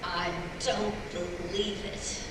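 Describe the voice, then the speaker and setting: A woman's voice: on the low side, flat delivery, slow, recorded from a distance. A woman playing a feisty, jealous Southern cartoon superheroine, sassy and drawling, at a live table read. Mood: shocked. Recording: echoey convention hall from afar, noisy.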